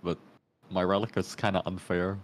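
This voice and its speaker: A man's voice: low-pitched, level tone, moderate pace, a little hiss or hum. A man chatting casually as a guest on an online voice call, laconic and dryly joking in short phrases. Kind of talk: casual chat. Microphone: Discord voice call. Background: music.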